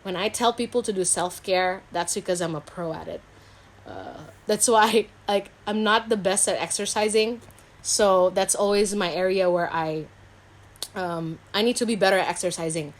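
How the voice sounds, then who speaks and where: A woman's voice: medium pitch, fairly even intonation, normal speed. A woman from Indonesia speaking as a guest psychologist in a casual interview, candid and self-reflective in an informal way. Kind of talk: casual video interview chat.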